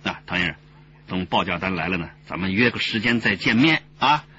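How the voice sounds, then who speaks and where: Bright, lively jovial wheeler-dealer boss. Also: male voice, low voice, fairly quick, a little hiss or hum. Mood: brisk, persuasive. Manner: effusive, chuckling, peppered with interjections. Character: jovial, smooth-talking boss figure haggling over a smuggling deal. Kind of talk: Chinese radio drama acting. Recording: dull radio drama audio with background music.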